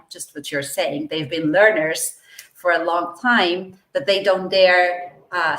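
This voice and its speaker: A woman's voice: on the low side, fairly bright tone, reverberant, low-quality recording. A middle-aged woman from Argentina, an English teacher, answering interview questions fluently and with enthusiasm.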